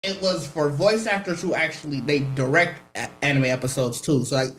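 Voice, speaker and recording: A male podcast host tells a long, rambling story and keeps slipping into quotes of what other people said. His voice carries a clear room echo. A faint hiss of noise sits underneath it. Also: a little high, brisk, a bit bright. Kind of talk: casual chat recounting a convention panel.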